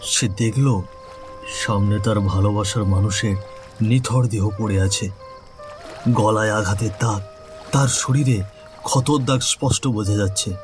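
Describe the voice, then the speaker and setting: Slow somber male horror narrator. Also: fairly low pitch, faint music behind. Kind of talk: dramatic storytelling narration.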